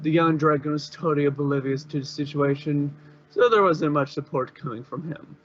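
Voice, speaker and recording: A man's voice: fairly high pitch, moderate pace, highs cut off. A man narrating fan fiction in an informal amateur style, occasionally stumbling over words.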